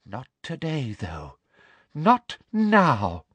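A narrator acting out a bullying middle-aged schoolmistress from a storybook. She is breathy and gloating, pushed well up in pitch with big swoops, and always justifies her own cruelty. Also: huge pitch swings, drawn-out words, no room sound, heavily acted. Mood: quiet menace. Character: menacing middle-aged female school official, sickly triumphant bully.

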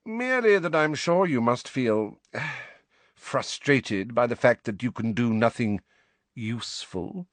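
A man narrating a storybook puts on a sneering male professor with a waspish, cutting manner. He speaks with quiet contempt and leans delicately on his words, in a very dry, close sound. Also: mid-range voice, big pitch swings, unhurried, dry recording, voice pushed up.

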